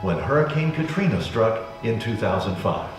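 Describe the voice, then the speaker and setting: Lively male video narrator, formal, echoey playback with loud music under. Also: fairly low pitch, moderate pace, distant mic. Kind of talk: scripted narration. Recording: video played in an echoey hall over music.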